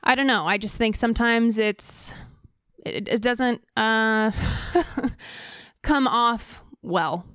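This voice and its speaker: A woman co-hosting a podcast, giving her opinion casually and earnestly, haltingly repeating words as she searches. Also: fairly high pitch, a bit bright, clean tone, no room sound, studio quality. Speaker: podcast co-host.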